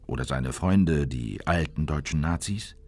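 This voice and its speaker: An actor playing a sober government insider who narrates tense political events in a measured, deliberate way for a radio drama. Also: male voice, rumbling low, darkish tone, exaggerated voice.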